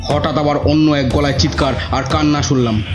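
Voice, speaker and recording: A man's voice: a little high, steady tone, fairly quick. A man narrating a scripted horror story in the first person, with dramatic suspense. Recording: roomy, muffled audio with music.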